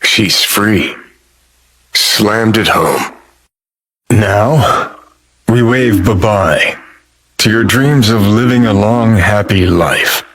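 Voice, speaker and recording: A man's voice: lowish voice, very slow, pauses often, a bit bright, full character voice. An American man playing a cynical, world-weary rebel rock star in a video game, with a sardonic, clipped drawl and plenty of swearing. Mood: grim, sardonic. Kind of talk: video game character dialogue.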